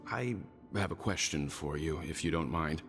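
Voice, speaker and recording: A man playing a calm, courteous, thoughtful middle-aged investigator in a fantasy video game, speaking in a measured, formal way. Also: rumbling low, heavily acted. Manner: measured, polite, formal delivery. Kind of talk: scripted video game voice acting. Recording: reverberant hall, game music behind.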